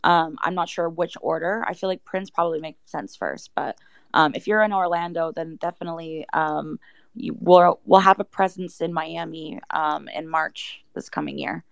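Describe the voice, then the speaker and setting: A woman's voice: deep voice, normal speed, vocal fry, no room sound. A woman in a casual panel chat, explaining things conversationally with plenty of "like" and "you know". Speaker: project team member, guest.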